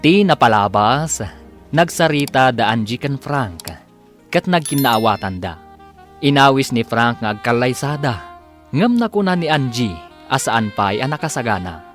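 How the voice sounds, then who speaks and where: Male radio drama narrator over soft music. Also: mid-range voice, animated, a little slow.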